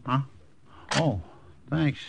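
An actor playing a cocky, slangy, blue-collar army private who grumbles comically in a 1950s radio drama. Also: male voice, mid-range voice, extremely slow, vocal fry. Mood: wisecracking. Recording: old radio recording, faint noise.

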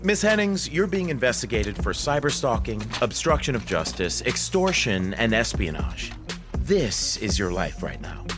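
Curt, official federal agent for fiction podcast, played by a man; blunt, varied pitch, music underneath. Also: very animated, moderate pace, close to an everyday voice. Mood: confrontational. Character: stern, curt male federal agent serving a warrant. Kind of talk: dramatized audio fiction.

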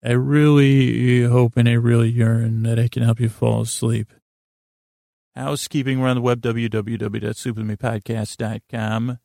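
Creaky-voiced man rambling softly through bedtime monologue. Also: mid-range voice, level tone, dry recording, studio quality. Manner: meandering, hesitant, deliberate delivery. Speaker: host of a sleep podcast.

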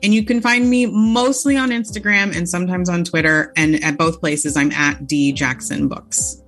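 A woman's voice: lowish voice, moderate pace, a bit bright, soft background music. A woman author talking as a guest on a podcast, chatty and enthusiastic, with plenty of fillers. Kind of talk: casual podcast interview.

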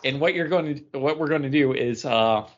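A man hosting a casual trivia game among co-hosts, speaking in a halting way and stumbling over his words. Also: medium pitch, dull audio. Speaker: podcast co-host running a trivia game. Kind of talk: casual banter, quiz hosting.

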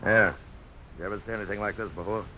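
An actor playing a proud, visionary frontier blacksmith with a folksy, dramatic storytelling delivery in a 1950s radio drama. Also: male voice, fairly low pitch, fairly even intonation, fast, natural voice. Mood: awed, intense. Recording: noisy old radio broadcast.

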